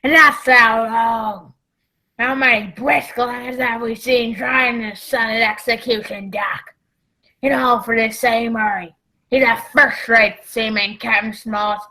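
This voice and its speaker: A woman playing a sly, commanding male pirate ringleader in a radio play, with folksy, drawn-out seafaring talk. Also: on the high side, steady tone, bright, forceful tone, heavily acted, low-quality recording. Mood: scornful.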